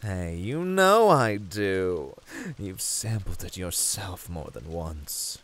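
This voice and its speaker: A man's voice: low-pitched, lively intonation, takes it slowly, a bit bright, full character voice. A man playing a smug, domineering demon with a British accent, teasing and purring theatrically in a flirtatious audio roleplay. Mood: taunting.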